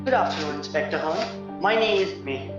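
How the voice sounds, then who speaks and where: A man playing a calm, reassuring and loyal solicitor in an audio play. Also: a little high, a little fast, over music, close to an everyday voice. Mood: measured. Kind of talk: stage-style radio play acting. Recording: muffled, very echoey room recording.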